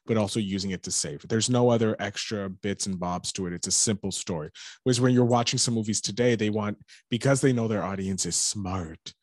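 A man's voice: fairly low pitch, darkish tone, no echo. Chatty Canadian man, dry close sound. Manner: rambling, enthusiastic, jokey. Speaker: podcast guest. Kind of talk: casual podcast chat.

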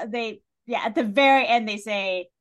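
A woman's voice: on the high side, normal speed. A woman talking casually and animatedly about books as a podcast guest, with informal, salty language. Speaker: guest on a book discussion podcast. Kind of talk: casual chat, literary discussion.

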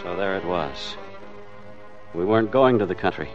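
A man's voice: low-pitched, animated, moderate pace. An American actor playing a weary, hard-boiled family man narrating his hostage ordeal in an old-time radio drama. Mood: grim, brooding. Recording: dull old radio recording with music.

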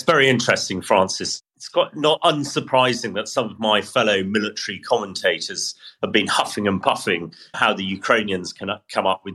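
A man's voice: lowish voice, sharp tone, slightly rough. A middle-aged or older man with a British accent, a former army officer explaining things as an expert commentator. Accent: British English. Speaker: military commentator, former tank commander.

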